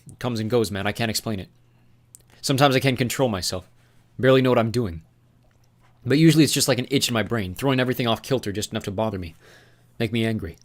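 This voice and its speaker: A man's voice: mid-range voice, fast talker, long pauses, lightly acted. A man voicing a furious young man sliding into madness, ranting and screaming bitter accusations. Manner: screaming, ranting, spitting rage.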